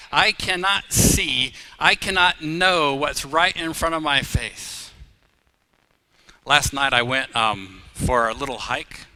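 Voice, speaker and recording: A middle-aged man who grew up in Uganda, preaching a live sermon as pastor, telling personal stories with humor and rising louder on key phrases. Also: on the high side, poor audio.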